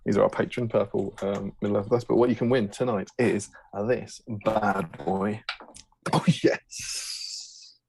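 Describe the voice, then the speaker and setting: A man's voice: fairly low pitch, steady tone, measured pace, vocal fry, darkish tone, a little hiss or hum. A man hosting a casual live stream, joking and rambling with lots of fillers. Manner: jokey, rambling, full of fillers. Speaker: host.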